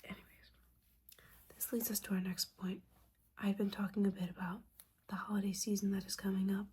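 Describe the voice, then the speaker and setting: A woman's voice: mid-range voice, level tone, fairly quick, warm tone, breathy edge. A woman playing a disappointed, sarcastic witch mentor in an ASMR roleplay, whispering breathily in a casual, sweary, scolding manner. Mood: bossy, sarcastic.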